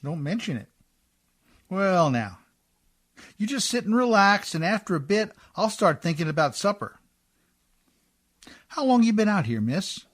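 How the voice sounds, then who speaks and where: A man acting in a radio play as a rough, good-natured, hard-drinking Canadian riverboat skipper with a folksy, deferential, ungrammatical drawl. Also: sits high, big pitch swings, measured pace, long pauses, fairly bright tone, heavily acted. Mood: genial, hospitable.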